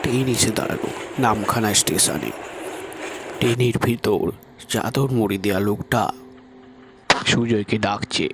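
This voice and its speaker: A man's voice: on the low side, a little hiss or hum. Male horror-story narrator. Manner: measured, steady storytelling.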